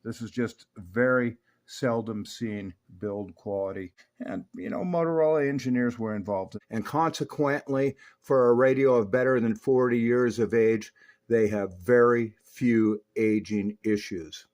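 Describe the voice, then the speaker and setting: A man's voice: mid-range voice, measured pace, a little mellow. A man narrating a technical review and repair guide in an emphatic, opinionated, folksy way. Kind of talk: explanatory voice-over for a technical review.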